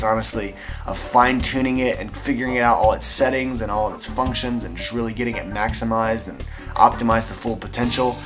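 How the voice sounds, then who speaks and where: A young man with a Texan accent hosting a casual vlog, answering viewer questions in an enthusiastic, chatty way with frequent fillers. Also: fairly low pitch. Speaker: airsoft channel host answering viewer questions.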